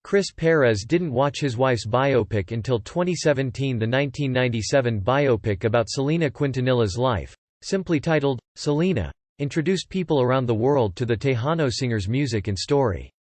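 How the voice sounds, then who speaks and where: A man's voice: medium pitch, animated, a little fast, darkish tone, no room sound. A man reading a scripted celebrity news story as a voice-over narrator. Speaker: narrator of entertainment video.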